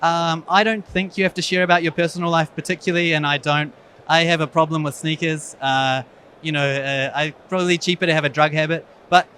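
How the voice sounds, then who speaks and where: A man with a New Zealand accent gives a conference talk as an eye surgeon, with a somewhat high-pitched voice. He speaks frankly, with wry, self-deprecating humor. A faint background hum sits under his voice. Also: sharp tone. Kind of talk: conference presentation.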